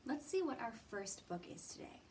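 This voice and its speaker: A woman hosting a library storytime, teaching and reading to young children in a deliberate, encouraging way with questions and pauses. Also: on the high side, sing-song. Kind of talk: children's lesson and read-aloud. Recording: distant mic with clear background noise.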